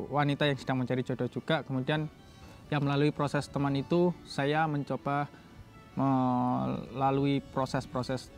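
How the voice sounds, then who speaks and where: A young adult man from East Java, telling a personal story casually in a halting way, often correcting himself. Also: medium pitch. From Jombang, East Java, Indonesia. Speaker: doctoral student telling his story.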